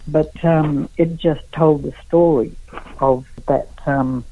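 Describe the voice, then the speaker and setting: A middle-aged woman from Australia answers radio interview questions over a tinny phone line, her speech slow and unhurried. Her voice carries a gravelly, creaky texture, and the sound is dry and close. She talks haltingly, with many pauses and fillers. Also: low voice, fairly even intonation, slight background noise. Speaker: guest artist.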